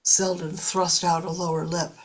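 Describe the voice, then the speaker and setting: A woman's voice: fairly low pitch, little pitch movement, moderate pace, low-quality recording. A woman reading scripted narration for a radio drama as its narrator.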